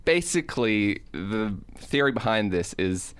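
A man's voice: on the low side, animated, measured pace, fairly bright tone, smooth, no room sound. A man co-hosting a podcast in casual, comedic chat, telling stories in a joking, rambling way. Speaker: podcast co-host.

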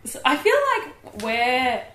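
A woman's voice: high-pitched, animated, slow, a bit bright. A young woman co-hosting a podcast, chatting casually with playful teasing and frequent giggles. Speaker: podcast co-host. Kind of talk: casual comedic chat. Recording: echoey room.

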